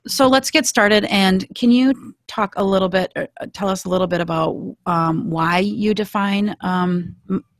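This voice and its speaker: A woman in her thirties co-hosting a podcast, asking questions in a halting way with many pauses, on a video call with a desk microphone at home. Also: mid-range voice, no echo, studio quality. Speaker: podcast co-host and teacher. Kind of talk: casual interview. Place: home room with shelves. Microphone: desk microphone with headphones on a video call.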